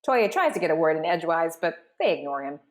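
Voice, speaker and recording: A woman hosting as a voice director, narrating and explaining in a clear, articulate way. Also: sits low, sing-song, fast talker. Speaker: voice director and host.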